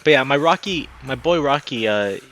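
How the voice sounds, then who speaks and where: A man hosting a game livestream, chatting casually and excitably with his viewers. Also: medium pitch, moderate pace. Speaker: game streamer and host. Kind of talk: casual livestream chat while playing a visual novel.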